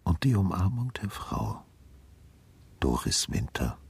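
Casting: older ex-detective, extremely low, very husky voice, patient, brooding, quietly probing radio-drama delivery. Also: male voice, sing-song, full character voice. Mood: inward, brooding. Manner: measured, sober questioning. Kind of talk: understated German radio drama acting.

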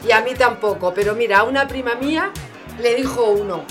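A woman's voice: fairly high pitch, brisk, music in the background, close to an everyday voice. A woman playing an earthy, wisecracking member of a bawdy gathering in comic audio theatre, wry and colloquial. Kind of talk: comic ensemble audio theatre, chatty banter.

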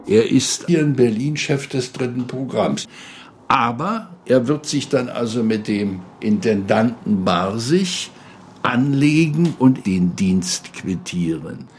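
A man's voice: mid-range voice, lively intonation, takes it slowly. A man recounting someone's career in an unscripted interview style. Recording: faint background noise.

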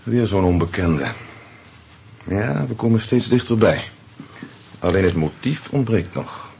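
An actor playing a composed, sardonic police commissioner in a radio detective play. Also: male voice, on the low side, lively intonation, a little slow, gravelly, darkish tone. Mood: dry, probing. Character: dry, unhurried male police commissioner probing a suspect. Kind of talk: Dutch radio play dialogue. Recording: very noisy old radio recording with clicks.

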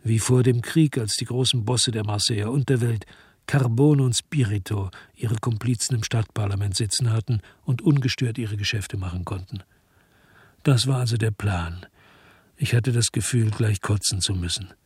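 A man's voice: on the low side, moderate pace, slightly rough, no room sound, studio quality. A man playing a weary, disgusted hard-boiled investigator, narrating his own story in a brooding, terse way in a German radio drama. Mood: brooding, bitter.

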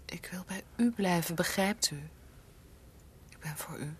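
An actress playing a devoted young niece in love with her master in a radio drama, ardent and breathy, often dropping to a hush. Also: fairly low pitch, measured pace, a little hiss or hum, natural voice. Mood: pleading, tender. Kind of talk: Dutch radio drama acting.

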